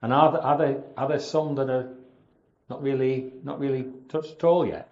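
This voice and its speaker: A man chairing a public talk, taking questions with halting, repeated words. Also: mid-range voice, steady tone, a little mellow, highs cut off. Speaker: event chair. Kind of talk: moderating a public Q&A.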